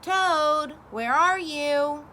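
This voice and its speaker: A woman voicing an eager, cheerful male frog in a storybook, with high, loud calling. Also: drawn-out words, slight background noise, full character voice.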